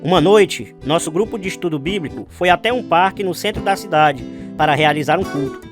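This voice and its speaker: A man plays an earnest young Navy man in a radio drama, recounting his life with devout sincerity. His voice sits fairly high for a man. Guitar music plays clearly behind him. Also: fairly quick, close to an everyday voice. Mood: reflective. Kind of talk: radio drama narration with acted dialogue.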